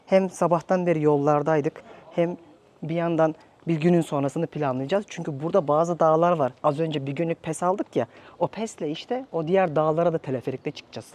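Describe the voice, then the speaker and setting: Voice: mid-range voice, normal speed, darkish tone. A travel vlogger from Turkey talking casually and conversationally, addressing viewers directly.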